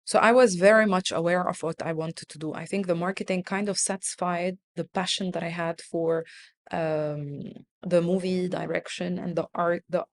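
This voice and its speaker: Low-voiced woman, earnest and thoughtful. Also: steady tone, moderate pace. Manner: earnest, repeats words while thinking. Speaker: guest, country manager. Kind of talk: conversational interview.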